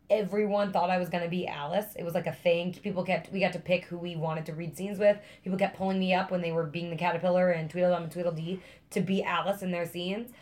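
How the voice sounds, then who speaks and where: Young missouri woman, low raspy voice, chatty and animated, lots of likes and ums. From Missouri, near Kansas City. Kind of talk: casual podcast chat.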